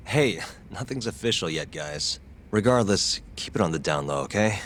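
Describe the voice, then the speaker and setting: A man's voice: deep voice, lively intonation, normal speed, fairly bright tone, slight background noise. A man voicing a defense attorney in a video game cutscene, speaking in a level, self-assured way. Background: game score music.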